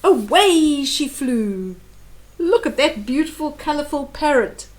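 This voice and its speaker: A woman reading a children's story aloud with animated, dramatic emphasis and explanatory asides. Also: high-pitched, takes it slowly.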